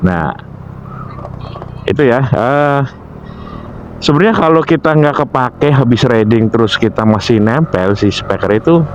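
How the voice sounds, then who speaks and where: A man's voice: mid-range voice, expressive, a little slow, long pauses, dull audio, slight background noise. An Indonesian man giving informal, instructive vlog advice, often repeating himself and searching aloud for words. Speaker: motovlogger giving gear care tips. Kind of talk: informal explanatory vlog talk.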